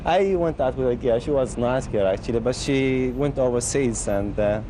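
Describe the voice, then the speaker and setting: A man's voice: medium pitch, normal speed, background noise. A man in his thirties from Palestine who runs a cafe, chatting in an interview in broken English and laughing often. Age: 30s. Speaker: cafe owner. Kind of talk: street interview.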